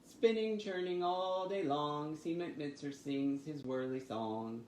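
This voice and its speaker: A man hosting storytime for young children, with an expressive, sing-song delivery. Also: a little high, unhurried. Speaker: children's library storytime host. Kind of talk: reading picture books and leading finger rhymes. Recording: roomy echo with faint background noise.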